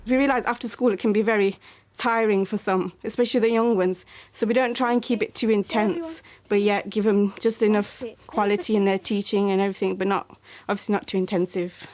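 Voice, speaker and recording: A woman, a madrasa teacher reading a story to young children in a lively, sing-song way. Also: mid-range voice, fairly quick. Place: library classroom in a mosque.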